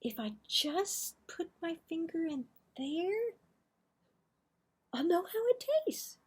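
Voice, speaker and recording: A woman's voice: very high voice, big pitch swings, takes it slowly, pitched above the performer's own voice, heavily acted. A woman voicing an eager, mischievous little boy from a children's storybook, wide-eyed and sneaky. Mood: sneaky, tempted.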